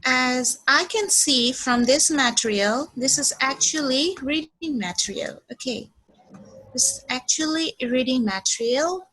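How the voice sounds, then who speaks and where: A woman in her twenties from Bangladesh leads an English lesson in a high, light voice. She speaks slowly and carefully, restating points and checking in with "okay". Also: pushed and bright, low-quality recording. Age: 20s. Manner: slow and deliberate, repeats herself, frequent "okay". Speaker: English teacher.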